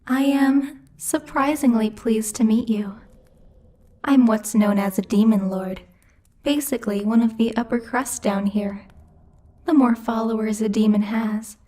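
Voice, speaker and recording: A woman's voice: medium pitch, a little mellow, close to an everyday voice. A woman playing a bossy, haughty demon lord who teases and mocks imperiously in a theatrical ASMR roleplay. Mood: haughty, commanding. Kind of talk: ASMR roleplay, scripted character acting.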